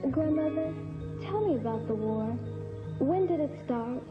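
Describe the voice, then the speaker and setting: A woman's voice: high-pitched, animated, a little slow. A woman playing a young Egyptian royal granddaughter, earnest and spirited, speaking formally in a theatrical historical drama. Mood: curious, indignant. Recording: echoey, thin narrow sound, music behind.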